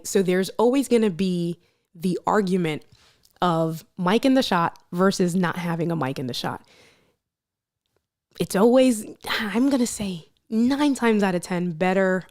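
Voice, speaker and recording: A woman with a strong, loud voice hosting a live stream. She tests microphones and talks straight to her viewers in an energetic, playful way. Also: mid-range voice, a little slow. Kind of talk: casual live stream chat. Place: room with little furniture. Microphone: switching between test microphones at different distances.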